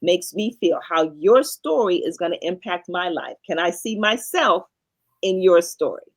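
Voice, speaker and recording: A woman's voice: lowish voice, lively intonation, measured pace. A middle-aged woman speaking as a coach and guest in a podcast interview. She explains in an emphatic, teacherly way and drives her points home through repetition.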